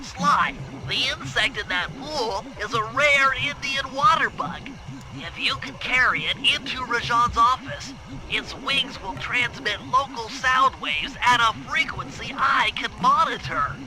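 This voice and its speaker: A man's voice: extremely high pitch, measured pace, music in the background, poor audio. A man voicing a brainy, fussy turtle who plans missions in a video game, speaking in precise, wordy explanations. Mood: explaining, matter-of-fact. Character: brainy, fussy nerdy planner turtle, proud strategist. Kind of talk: video game cutscene acting.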